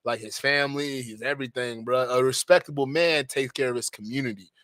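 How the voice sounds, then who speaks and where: A young man from Nigeria hosting a live stream, giving an animated, preachy monologue with slangy asides. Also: mid-range voice, brisk, dry recording.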